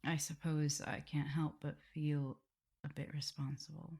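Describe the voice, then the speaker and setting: Female vampire mistress, acted by a woman, husky low voice, unhurried delivery, intimate ASMR roleplay, affectionate, gently teasing. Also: little pitch movement, heavily acted. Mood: tender, apologetic.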